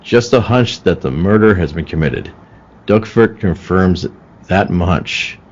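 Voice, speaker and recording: An actor playing a serious, baffled police detective in an old-time radio play, speaking in a grave, deliberate way. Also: male voice, low voice. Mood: baffled, brooding. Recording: dull, lo-fi call audio.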